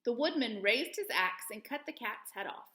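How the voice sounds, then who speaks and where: Lady reading a kids book out loud, bright voice, really animated, echoey room. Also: a little high, normal speed. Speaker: read-aloud storyteller. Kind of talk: expressive children's story read-aloud.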